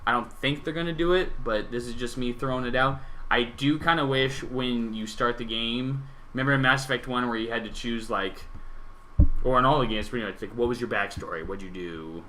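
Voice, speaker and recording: A man hosting a podcast, explaining theories in casual gaming chat with animated delivery and some swearing. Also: medium pitch, a little fast, a little hiss or hum, low-quality recording. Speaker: podcast host.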